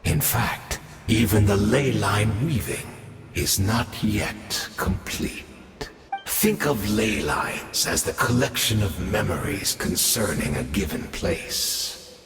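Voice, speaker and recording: A man's voice: fairly low pitch, animated, slow pace. An actor voicing a scheming, smug male villain in a video game, speaking with theatrical, polished menace. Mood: scheming calm. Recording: echoey reverb with game music.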